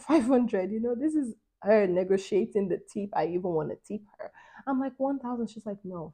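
A young woman from Nigeria recounts a trip in a loose, chatty vlog style, full of fillers and laughs. Her voice sits mid-pitch with a mellow, relaxed tone. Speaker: travel vlogger. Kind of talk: casual storytelling to camera.

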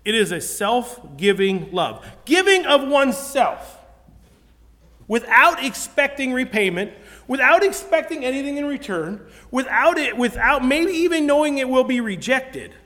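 A man's voice: extremely high pitch, sing-song, measured pace, pushed and bright. A man preaching a sermon to a congregation, earnest and conversational, often restarting his sentences. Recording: long hall reverb.

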